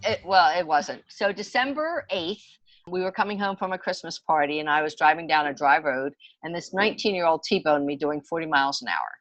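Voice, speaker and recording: A woman in her sixties with a slightly low voice, recorded dry without room echo. She talks like a podcast guest swapping personal stories, chatty and emphatic as she moves from one anecdote to the next. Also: lively intonation, a little fast, a bit bright, soft background music. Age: 60s. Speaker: podcast guest, homeopathy enthusiast. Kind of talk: conversational personal storytelling.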